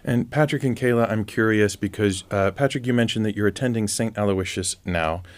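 Deep, smooth-voiced man interviewing. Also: a little fast. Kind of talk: conversational interviewing.

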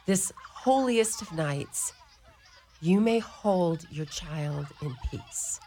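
A woman's voice: lowish voice, lively intonation, very slow, full character voice. A woman playing a kindly, wry and firm older Mother Superior in a community radio play. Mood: calm, caring. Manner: gently commanding, dryly teasing. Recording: noisy radio studio recording.

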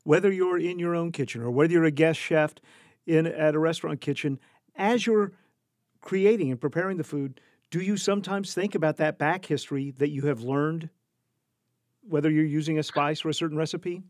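Higher-voiced male interviewer, very dry sound. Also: normal speed, a little mellow, no room sound. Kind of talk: measured interview questioning.

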